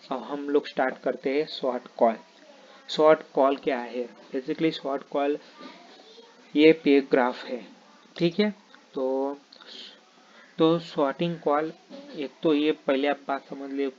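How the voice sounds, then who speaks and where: A man whose first language is not Hindi, explaining options trading in a halting, filler-heavy way and often checking in with "ठीक है". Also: medium pitch, a little mellow, highs cut off. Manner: halting, many fillers and restarts, repeats "ठीक है". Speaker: trading teacher.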